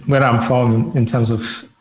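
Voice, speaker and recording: A middle-aged Scottish man talks over a video call, loosely and easily, with plenty of fillers. His voice sits at an ordinary middle pitch. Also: steady tone, slow, darkish tone, a little hiss or hum. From Scotland. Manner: easygoing, rambling with many fillers. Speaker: guest, author and psychotherapist. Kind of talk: relaxed conversational interview answers.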